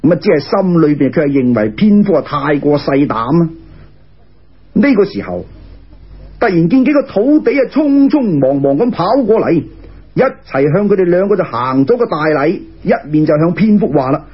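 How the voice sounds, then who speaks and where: A man's voice: a little high, expressive, moderate pace, slightly rough. A man with a Cantonese accent telling a serial tale in traditional dramatic storytelling style. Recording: muffled lo-fi radio recording.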